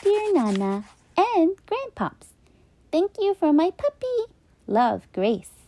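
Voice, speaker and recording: A woman's voice: extremely high pitch, huge pitch swings, slow, warm tone, voice pushed up, heavily acted. A woman voicing a cheerful little girl reading her thank you letters in a children's storybook, with a sing-song manner. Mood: cheerful, grateful. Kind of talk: children's storybook read-aloud.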